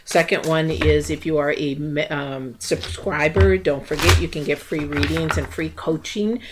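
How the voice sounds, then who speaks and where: Low-voiced woman giving a rambling tarot reading. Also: steady tone, a little slow, noisy background. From United States. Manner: rambling, many fillers and restarts. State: losing her voice. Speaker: tarot reader. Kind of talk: casual spiritual reading to camera.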